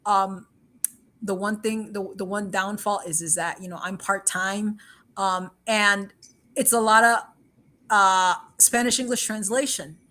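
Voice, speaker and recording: A woman's voice: mid-range voice, fairly even intonation, a little slow, pushed and bright. A woman hosting a livestream, chatting casually, rambling and repeating herself, switching between English and Spanish, laughing often. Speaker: livestream host. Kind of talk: casual chat.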